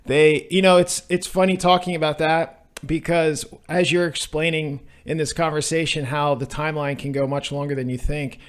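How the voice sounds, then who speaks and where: Higher-voiced man, casual podcast co-host. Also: flat delivery, moderate pace, hardly any pauses, clear, resonant tone. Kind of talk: casual chat.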